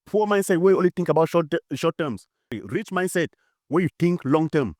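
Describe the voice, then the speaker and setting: A man's voice: a little high, expressive, normal speed, a little mellow, no echo, studio quality. Emphatic man giving a motivational talk. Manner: emphatic and repetitive, with frequent fillers. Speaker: motivational speaker.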